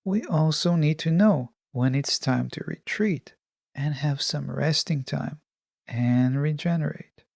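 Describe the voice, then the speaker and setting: Creaky-voiced woman hosting a podcast. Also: low-pitched, a little mellow, dry recording, clean studio sound. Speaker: podcast host. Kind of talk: calm solo self-help podcast monologue.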